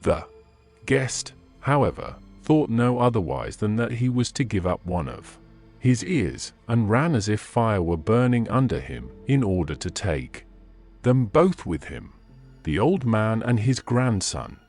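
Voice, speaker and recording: A man reading fairy tales as a scripted audiobook narration, in measured, expressive storybook phrasing. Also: lowish voice, soft background music.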